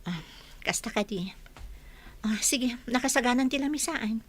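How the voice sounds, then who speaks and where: A woman's voice: fairly high pitch, moderate pace, husky, no echo. A woman acting in a radio drama as a suspicious but doting wife and mother who questions pointedly. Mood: suspicious, caring.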